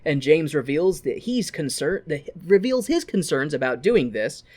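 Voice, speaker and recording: An American man co-hosts a podcast with a very lively, swinging pitch. His talk is informal and sweary, a loose recap dotted with wry asides. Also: moderate pace. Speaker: podcast co-host. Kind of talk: casual film recap with commentary.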